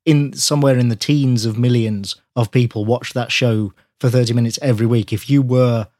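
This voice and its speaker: British male co-host, mid-pitched, reflective and hedging, dry unroomy recording. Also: steady tone, normal speed, a little mellow, dry recording. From United Kingdom. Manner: thoughtful, hedging with "you know" and "I mean". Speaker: podcast co-host. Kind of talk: casual chat.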